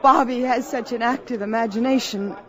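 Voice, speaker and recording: An actress playing a gentle but firm young mother in a 1950s radio drama, soothing and composed. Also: fairly high pitch, fairly even intonation, a little fast, a crowd in the background, natural voice. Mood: polite.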